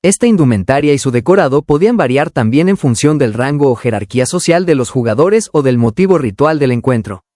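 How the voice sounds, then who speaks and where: A man's voice: medium pitch, animated, brisk, hardly any pauses, a little mellow, no room sound, clean studio sound. A man reading scripted educational narration as an audiobook narrator.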